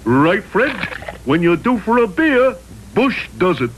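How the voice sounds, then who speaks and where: A man's voice: sits high, very animated, slow pace, fairly bright tone, slight background noise, exaggerated voice, poor audio. An actor playing an eager, loyal caveman sidekick in a vintage cartoon commercial. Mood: cheerful.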